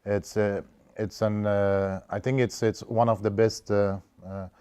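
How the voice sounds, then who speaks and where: A young man from Afghanistan, speaking earnestly in an interview with frequent hesitant pauses about his work and training. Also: lowish voice, flat delivery, dark tone, smooth. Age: young adult. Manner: hesitant, many "uh" fillers, earnest. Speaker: anti-corruption training participant. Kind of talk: interview testimonial.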